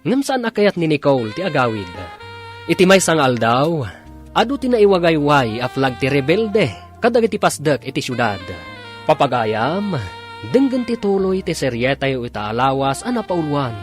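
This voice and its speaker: Male narrator, scripted radio drama announcing, lively intonation, music underneath. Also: on the high side, moderate pace.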